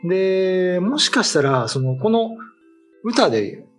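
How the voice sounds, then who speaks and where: A middle-aged man explaining folklore in casual talk, step by step and with pauses, as a co-host. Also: high-pitched, sing-song, soft background music. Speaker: writer and co-host. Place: small meeting room set up as a studio, indoors.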